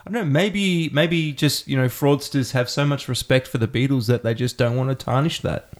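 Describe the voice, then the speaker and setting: A man of middle pitch co-hosting a podcast in casual chat. He tells stories with animation and acts out his own reactions as he goes. He speaks into a podcast microphone on a stand. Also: fairly quick. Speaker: podcast co-host.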